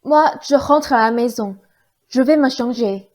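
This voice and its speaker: A woman's voice: a little high, a little slow, darkish tone, close to an everyday voice. A woman playing a whiny, upset child from a fairy-tale family in a radio play, protesting. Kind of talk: exaggerated radio play acting.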